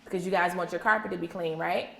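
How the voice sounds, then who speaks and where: A woman's voice: fairly low pitch, steady tone, quick pace, vocal fry, sharp tone. A woman teacher leading an interactive read-aloud for young children, encouraging and praising, with simple repeated questions and instructions. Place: indoor classroom with young children. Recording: echoey classroom, faint background noise.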